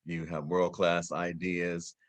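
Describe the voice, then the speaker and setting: A man with a very low voice speaks as a guest in a live online talk. He has a wry, self-deprecating way of telling things. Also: little pitch movement, slow pace.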